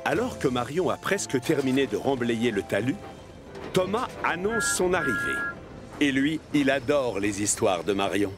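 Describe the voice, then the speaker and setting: Guy narrating a kids cartoon story, medium pitched voice, music playing behind him. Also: big pitch swings, moderate pace. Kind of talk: scripted children's story narration.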